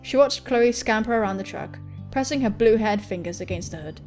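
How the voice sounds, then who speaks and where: A woman hosting a gaming video, reading a visual novel aloud and adding casual, irreverent, expressive commentary. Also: a little high, fairly quick. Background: game music.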